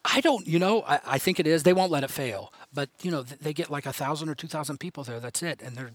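A man's voice: fairly high pitch, fast talker, no echo. A man in his fifties from Houston, a guest chatting casually, full of fillers and laughing often. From United States, grew up in Houston. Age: 50s. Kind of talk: casual chat on a poker show.

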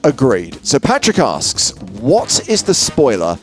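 A man's voice: medium pitch, wildly swooping pitch, slow pace, soft background music. A man hosting a podcast in a conversational style. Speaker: podcast host.

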